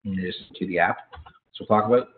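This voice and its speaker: A man giving informal product training in a halting way, with frequent hesitations and self-corrections. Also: low voice, a little hiss or hum. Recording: thin, phone-like narrow-band audio.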